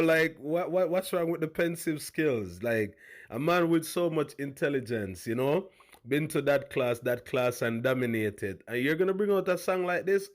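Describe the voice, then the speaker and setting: A man with a big voice, hosting a casual commentary vlog in a loud, hyped way. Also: fairly high pitch. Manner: loud, hype, calls viewers fam. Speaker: host.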